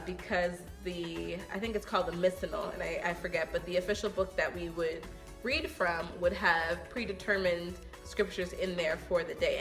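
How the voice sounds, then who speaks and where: A young woman speaks earnestly and reflectively in a casual vlog, her voice sitting a little low for a woman. She pauses often with ums as she thinks aloud. Soft music plays faintly behind her. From New York. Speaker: vlogger. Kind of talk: casual get-to-know-me talk to camera. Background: soft background music.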